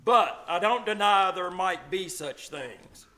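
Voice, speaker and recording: A man's voice: sits high, animated, takes it slowly, sharp tone, heavily acted. A man playing a wry, teasing veteran small-town doctor in a live old-time radio comedy. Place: live stage performance at a venue.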